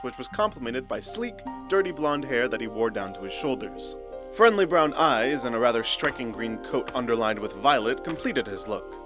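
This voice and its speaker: A man narrating a scripted fantasy audio drama in a measured, literary storytelling manner. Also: medium pitch.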